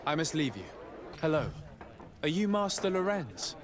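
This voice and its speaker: An actor playing a polite, composed young diplomat in a video game, speaking formally. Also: male voice, fairly high pitch, sing-song, normal speed, crowd noise. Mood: businesslike. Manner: formal, courteous questioning. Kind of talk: video game cutscene dialogue. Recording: clear noise, outdoor ambience behind.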